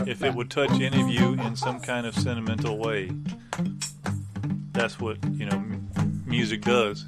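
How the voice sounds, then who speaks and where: A man playing a boozy, forlorn boardwalk busker, giving a halting, deadpan comic monologue to an audience over guitar. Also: mid-range voice, natural voice. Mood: rambling, wistful. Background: acoustic guitar playing.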